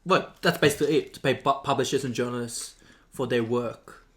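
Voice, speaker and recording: A young man with a hoarse, worn voice, co-hosting a casual chat and rambling with lots of ums and laughs. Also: mid-range voice, brisk, noisy background. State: hoarse, losing his voice. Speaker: podcast co-host.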